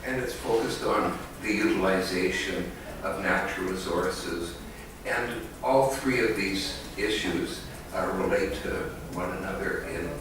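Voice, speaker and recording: A man's voice: sits low, noisy background. A man giving an academic conference talk as an economist, hesitant, with many fillers and restarts, recorded in an echoey hall from a distant mic.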